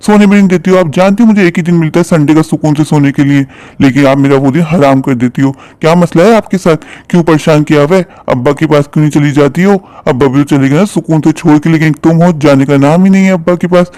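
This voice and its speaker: A selfish, irritable grown son voiced by a man in a cartoon tale. He scolds impatiently at a quick clip, in a very dry recording. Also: on the high side, fairly even intonation, a little mellow, no room sound, lightly acted. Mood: angry, scornful. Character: callous, irritable grown son resenting his mother.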